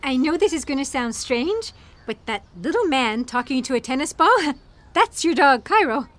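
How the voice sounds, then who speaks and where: A woman playing an excitable, wide-eyed kid on a space adventure, eager and exclaiming, in an audio drama. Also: sits high, normal speed, no room sound. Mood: amused. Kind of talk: sci-fi audio theatre acting.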